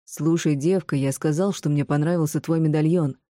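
Casting: female reader as bullying gang man, threatening, dark mellow timbre, storybook tough guy. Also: sits low, steady tone, normal speed, no room sound, clean studio sound, natural voice. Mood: menacing. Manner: bullying, demanding. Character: menacing tall red-haired male street thug. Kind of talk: audiobook character acting.

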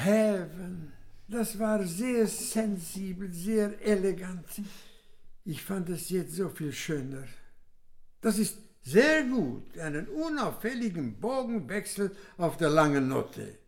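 A gentle but exacting male music coach guides in a halting, encouraging way. His voice is high for a man and very lively in pitch, with slow, spaced-out phrases. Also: exaggerated voice. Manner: halting, encouraging, switches into English.

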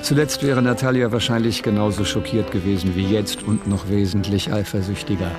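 A measured male narrator played by an actor tells a literary story for a radio play. His voice is low, with music clearly playing behind him. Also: fairly even intonation, lightly acted.

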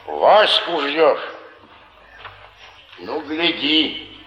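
A man's voice: on the high side, sing-song, drawn-out words, pushed and bright, exaggerated voice. An actor playing a blunt, fatalistic flophouse man with an indifferent, phlegmatic manner in a 1950s Soviet stage drama. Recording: dull, echoey theatre recording.

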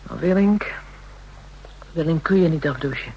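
A woman acts a jaded, hard-living streetwalker in a radio drama. Her voice is on the low side for a woman, coarse and scornful in manner, with some noise in the recording. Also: moderate pace, mellow, highs cut off, natural voice. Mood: bitter, frank. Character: brash, jaded, street-hardened woman, a prostitute. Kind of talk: Dutch radio play acting.

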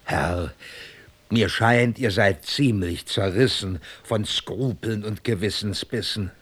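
A man's voice: lowish voice, slow pace, fairly bright tone, a little hiss or hum. A man playing a knight's sly, pragmatic servant, speaking wry, coaxing rhymed verse in an audio drama.

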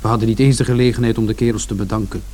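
Reflective son narrating played by an actor. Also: male voice, on the low side, little pitch movement, racing, mellow, close to an everyday voice. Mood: matter-of-fact, sombre. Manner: reflective, storytelling. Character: George, reflective younger son recalling his family's bleak Saturday. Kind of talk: scripted first-person narration in radio drama.

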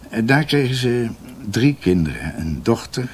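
An actor playing an older doctor in a radio drama, telling family history in a halting, ruminative, emphatic way. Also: male voice, medium pitch, big pitch swings, breathy edge. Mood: confiding, deliberate. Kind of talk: radio drama acting, conversational storytelling.

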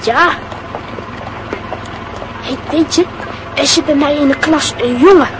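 A woman's voice: high-pitched, takes it slowly, a little hiss or hum, poor audio. A woman playing a cocky crude schoolboy. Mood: boastful. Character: brash cheeky schoolboy, crude and boastful.

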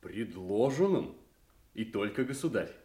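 An actor playing a courteous, reserved noble duke in a theatrical audio drama, speaking politely and formally. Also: male voice, lowish voice, big pitch swings, measured pace, warm tone. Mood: polite, restrained. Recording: roomy, echoing sound.

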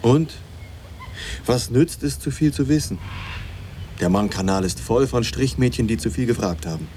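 An actor playing a brash, scornful boyfriend in a radio drama, blunt, dismissive and domineering. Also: male voice, medium pitch, expressive, normal speed, dark tone, slight background noise, close to an everyday voice. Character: brash, scornful, boastful working-class boyfriend. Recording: studio radio drama with background ambience.

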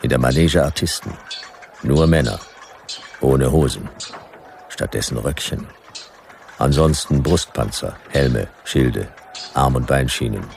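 A man's voice: rumbling low, lively intonation, unhurried, gravelly, pauses often, darkish tone, a little hiss or hum, heavily acted. A man playing a hard-boiled private detective, narrating a radio play in dry, clipped first-person sentences. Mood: dry, wry.

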